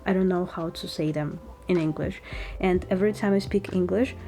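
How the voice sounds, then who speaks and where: A woman's voice: lowish voice, normal speed, faint music behind. Chatty young woman with a Russian accent. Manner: chatty and relaxed, with small slips in English grammar. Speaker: lifestyle vlogger. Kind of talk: casual vlog narration.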